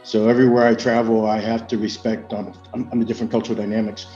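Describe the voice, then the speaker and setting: An American man answering as an industry panelist, measured and hesitant, with frequent filled pauses. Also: on the low side, level tone, mellow, faint music behind. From United States. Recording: muffled, echoey online audio.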